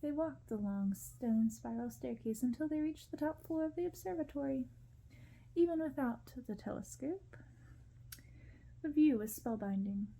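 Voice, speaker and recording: A woman narrating a storybook in ASMR style, gentle, hushed and unhurried. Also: high-pitched, lively intonation, creaky, low-quality recording. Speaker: ASMR creator reading a novel.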